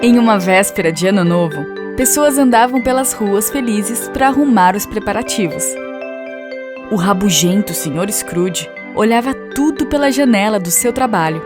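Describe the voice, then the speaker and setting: A woman reading scripted narration for an animated children's story. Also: mid-range voice, moderate pace, over music.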